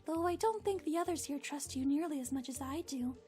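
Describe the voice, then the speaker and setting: A woman's voice: sits high, a little fast, dark tone, faint music behind, close to an everyday voice. A woman voicing a sweet, composed young cartoon pony lady with a British accent and a polite, thoughtful way of talking. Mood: calm, thoughtful.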